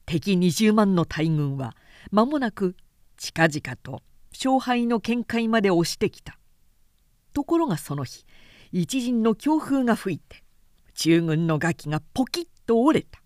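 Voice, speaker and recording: Slow, expressive woman narrator. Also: medium pitch, dry recording, studio quality. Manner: clear, expressive storytelling. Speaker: narrator, reader. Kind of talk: literary audiobook narration.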